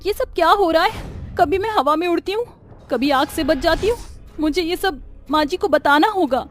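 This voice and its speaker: A woman's voice: expressive, moderate pace, soft background music. A woman voicing a sweet, innocent young expectant daughter-in-law in a cartoon story. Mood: astonished. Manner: high, wondering, innocent.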